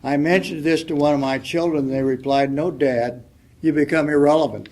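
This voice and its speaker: An older man reading a letter aloud as a panelist at a public forum, soft-spoken and reflective. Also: fairly high pitch, steady tone, a little fast, a little hiss or hum. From United States.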